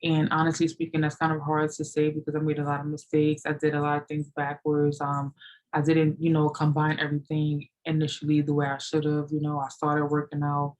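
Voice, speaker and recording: A woman's voice: low voice, completely flat delivery, brisk, a little mellow, rough recording. A woman in her late thirties answering viewer questions in a loose, rambling chat, full of "you know" and "like". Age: 30s. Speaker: fitness vlogger. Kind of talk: casual Q&A chat.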